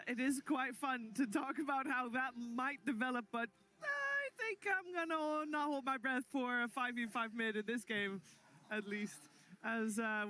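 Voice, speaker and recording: Female esports desk host, bright forward tone, live broadcast presentation. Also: fairly high pitch.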